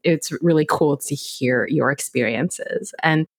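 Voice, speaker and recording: A woman's voice: low voice, a little slow. A woman hosting a podcast, asking guests rambling, friendly questions. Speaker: podcast host. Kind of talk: casual interview chat.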